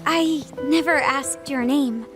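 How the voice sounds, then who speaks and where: A woman playing a gentle, earnest young princess in a game cutscene, speaking in a measured, formal and sincere way. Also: high voice, music in the background. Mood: tender, wistful. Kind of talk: dramatic video game cutscene acting.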